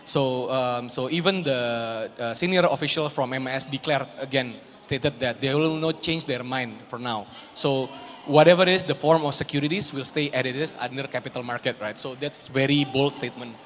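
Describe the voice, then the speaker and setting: A man's voice: mid-range voice, normal speed, a bit bright, a little hiss or hum. A man speaking as a panelist at a live panel, thinking aloud and often checking with "right?". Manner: thinks aloud, many fillers and restarts, asks "right?" often. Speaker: co-CEO, panelist. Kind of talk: panel discussion. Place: conference stage at a live event. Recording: reverberant live conference hall.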